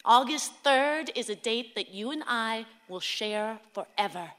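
A woman's voice: a little high, expressive, unhurried, fairly bright tone. A woman playing a tender, wistful mother in a radio play monologue, intimate and reflective. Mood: wistful, loving. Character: tender, wistful mother writing to her son. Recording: long reverberant hall sound.

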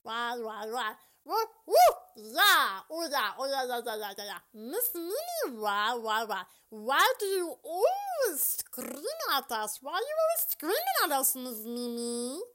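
Small excitable creature child, voiced by a woman: high, swooping, pestering, plaintive storybook delivery. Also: measured pace, full character voice. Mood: babbling, accusing.

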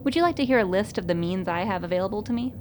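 A woman's voice: mid-range voice, expressive, rapid-fire, slight background noise, lightly acted. A woman playing a stern, sardonic undercover agent with a curt, commanding manner in a radio play. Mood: cold, commanding.

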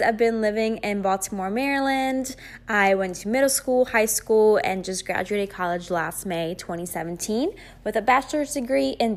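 Chatty young woman, high voice. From Greece. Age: 20s. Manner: chatty, rambling, many fillers. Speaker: podcast host. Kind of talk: casual solo podcast chat.

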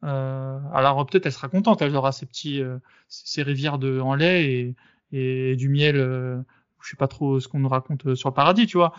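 A man hosting a podcast, arguing in a casual debate and often pausing with fillers and restarts. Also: medium pitch, clean tone, dry recording, highs cut off. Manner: hesitant, many fillers and restarts. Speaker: podcast host.

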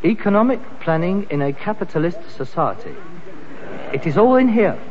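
An actor playing a frustrated grown-up son in a British sitcom, a London junk trader with big ideas and a sarcastic, indignant manner. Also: male voice, high voice, sing-song, normal speed. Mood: scheming, persuasive. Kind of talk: 1960s British sitcom acting. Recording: dull, muffled old sitcom recording.

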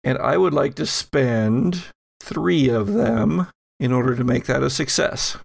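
A man runs a tabletop roleplaying game as its game master. His voice has a creaky edge and sits close and dry. He narrates in a deliberate, halting way, improvising as he goes at an unhurried pace. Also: medium pitch, no echo.

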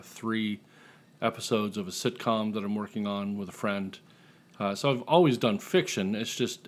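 A man talking casually and jokingly as a writer being interviewed on a podcast. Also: lowish voice.

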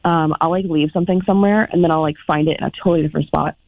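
A woman speaks over a tinny, narrow phone line with a lot of vocal fry. She chats informally as a call-in guest, her phrases hesitant and trailing. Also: sits low, steady tone, very fast, no room sound. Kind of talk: casual storytelling chat.

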